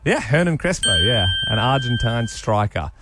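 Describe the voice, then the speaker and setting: A man co-hosting a breakfast radio show, running a quiz with enthusiastic, quick banter. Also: medium pitch, very animated, heavy background noise. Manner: enthusiastic, quick-talking quizmaster. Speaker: radio co-host.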